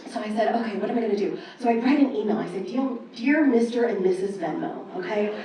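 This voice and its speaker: A woman's voice: mid-range voice, fast, warm tone, slight background noise. A woman in her thirties performing a brash, casual stand-up set on a club stage, telling stories animatedly and acting out exchanges, with some swearing. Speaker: stand-up comedian. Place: live comedy club stage. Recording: roomy echoing club sound, distant.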